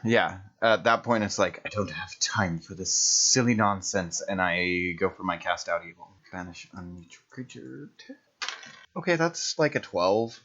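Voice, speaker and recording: Dull-sounding, low-voiced American man, thinking aloud. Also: animated, measured pace, sharp tone. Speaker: player in a tabletop game. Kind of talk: casual tabletop game chat.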